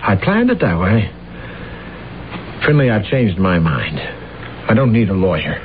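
A deluded assassin in an old radio play, slow and grandiose, tinny sound. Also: male voice, lowish voice, big pitch swings, slight background noise. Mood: fervent, defiant. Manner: grandiose, theatrical, fervent. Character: ambitious, delusional man jailed as a presidential assassin. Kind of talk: radio drama acting. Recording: tinny band-limited old radio broadcast, music behind.

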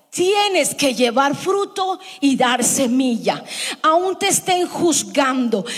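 A woman's voice: high voice, big-room sound. A middle-aged woman preaching a sermon to her congregation, emphatic and fond of repeating her lines.

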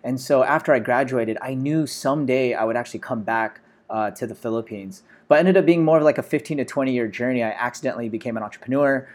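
An American man in his forties, a startup founder telling his story in a relaxed interview, full of "you know" and "uh". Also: mid-range voice, quick pace, hardly any pauses. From Los Angeles, USA.

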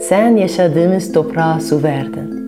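Woman as a patient, unhurried water carrier. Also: low-pitched, over loud music. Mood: reassuring. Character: kind, patient male water carrier, reassuring and grateful.

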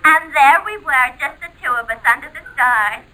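An actress playing a brassy, gossipy woman on a party line in a 1940s radio comedy, slangy and sassy with drawn-out emphasis. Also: high-pitched, sharp tone. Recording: noisy old radio, telephone-like sound.

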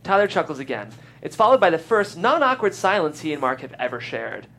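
Lively narrator reading stage directions. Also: male voice, fairly high pitch, a little fast. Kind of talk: scripted narration, live script reading. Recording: faint background noise.

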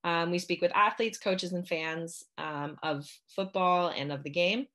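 A woman's voice: on the low side, steady tone, a little slow, fairly bright tone. A young woman hosting a sports podcast, interviewing a guest in a casual, chatty way full of "um" and "like". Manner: chatty, many fillers like "um" and "like". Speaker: podcast host.

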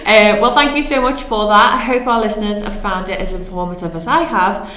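A woman with a Scouse accent hosting a casual podcast chat, asking questions in a relaxed, friendly way. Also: medium pitch, brisk. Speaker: podcast host. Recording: echoey room.

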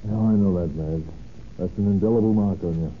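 An actor playing a brisk, gruff police captain in a 1930s radio drama, speaking in clipped, businesslike slang. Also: male voice, low voice, natural voice. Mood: brisk, firm. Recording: tinny, hissy old radio broadcast.

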